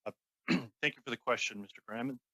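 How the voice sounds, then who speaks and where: A man's voice: medium pitch, fairly quick, sharp tone, no room sound. A man giving formal, somewhat hesitant testimony as a union representative.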